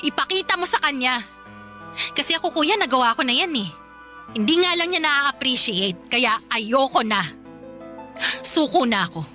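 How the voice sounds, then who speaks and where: A bitter, exhausted daughter in a radio drama. Her voice is high and bright, pleading and defiant with emphatic repeats. Soft music sits underneath. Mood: bitter, challenging. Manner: emotional, pleading, emphatic repetition. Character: aggrieved, worn-out adult daughter, bitter and defiant. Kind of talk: Filipino radio drama acting.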